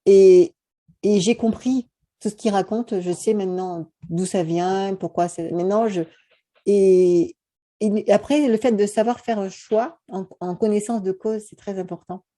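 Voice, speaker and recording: A woman from France hosts a casual interview and speaks earnestly and at length. Her voice is very mellow and dark, at a middle pitch. She fills her talk with "euh" and "voilà". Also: fairly even intonation, a little slow. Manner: earnest, talkative, many fillers. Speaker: host. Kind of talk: casual conversation.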